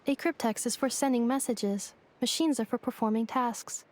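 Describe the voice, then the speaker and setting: A woman's voice: on the high side, moderate pace, slight background noise, lightly acted. A woman voicing a literal-minded female android. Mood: inquisitive. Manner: flat, precise, formal machine-like phrasing. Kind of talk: game voice acting. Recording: game dialogue over music. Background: game score music.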